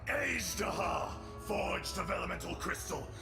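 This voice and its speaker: A man's voice: sits high, unhurried, gravelly, heavily acted. A man voicing a proud, furious ancient dragon in youthful form, haughty and declamatory, in scripted video game dialogue. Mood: haughty, defiant. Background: music.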